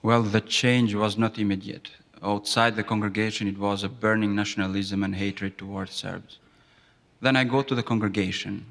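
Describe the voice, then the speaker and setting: Earnest man from Kosovo, slightly low voice. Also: a bit bright, in a large hall. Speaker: interviewee. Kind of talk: formal interview testimony.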